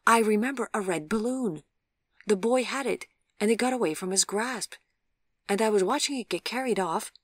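A woman's voice: mid-range voice, moderate pace, dry recording, clean studio sound, pitched above the performer's own voice, close to an everyday voice. A woman voicing an uncertain young female hospital patient in an audiobook, direct and searching as she speaks. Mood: puzzled, insistent. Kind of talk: audiobook character voice.

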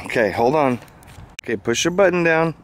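A man's voice: mid-range voice, expressive, normal speed, gravelly, slight background noise. A man from Southern Illinois, a father filming a home video, explaining a toy fishing pole and coaching his child step by step in a casual, patient way.

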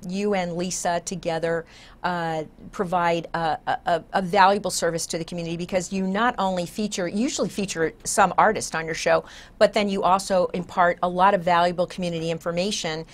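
A woman's voice: lowish voice, fairly even intonation, brisk. An older woman with an American accent, hosting a conversational interview as a talk show host. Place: small indoor TV studio.

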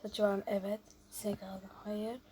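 A woman's voice: medium pitch, level tone, normal speed, warm tone, a little hiss or hum. A woman hosting a casual gameplay video, chatting and thinking aloud as she reads out questions and answers them. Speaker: YouTube host.

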